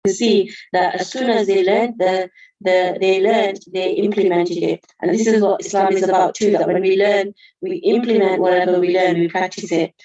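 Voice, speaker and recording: A woman's voice: mid-range voice, fairly even intonation, moderate pace, warm tone, lo-fi sound. A woman giving an earnest Qur'an study lesson, explaining verses carefully with reverent honorifics. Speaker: religious teacher.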